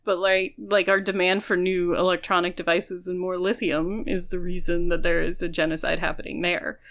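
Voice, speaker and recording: Woman podcast cohost, kinda low voice, chatting casually and explaining stuff earnestly. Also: level tone, moderate pace, a bit bright. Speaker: podcast co-host. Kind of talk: casual discussion.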